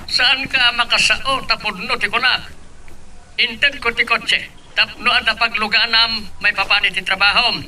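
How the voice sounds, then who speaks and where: A man's voice: very high voice, fairly even intonation, moderate pace, bright, forceful tone, slight background noise, heavily acted, rough recording. A man acting a stern, commanding boss in an Ilocano audio drama. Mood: stern, scolding. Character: stern, domineering boss man ordering staff about.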